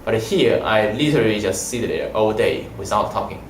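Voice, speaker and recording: A man's voice: lowish voice, expressive, slight background noise. Young Mandarin-native man, echoey room. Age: young adult. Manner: halting, simple phrasing. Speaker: language student. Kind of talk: casual interview. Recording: roomy echo.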